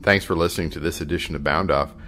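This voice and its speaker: A man's voice: very deep voice, fast, pushed and bright, a little hiss or hum. A man hosting a podcast, reading scripted closing announcements. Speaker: podcast host.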